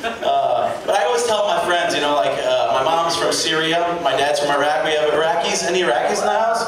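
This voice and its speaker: A young man from Chicago with a Chicago accent, performing an animated stand-up comedy set in a club. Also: brisk. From Chicago, United States. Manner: animated, jumps into high-pitched shouted voices for characters. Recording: roomy, distant camera recording with loud crowd. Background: audience laughter.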